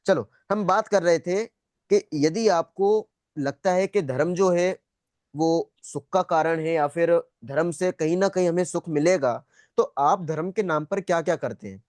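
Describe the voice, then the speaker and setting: A man's voice: on the high side, unhurried, darkish tone, no room sound. A man teaching an online spiritual class in a conversational, repetitive way, putting questions to students and often checking that they follow. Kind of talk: interactive lecture, questioning students. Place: online video call.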